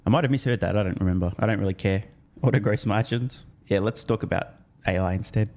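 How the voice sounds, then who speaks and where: Male stock commentator, slightly deep voice, scripted but chatty, bullish and punchy. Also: fast, a little mellow. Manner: bullish, emphatic. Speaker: video creator, stock commentator. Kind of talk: scripted video narration with casual asides.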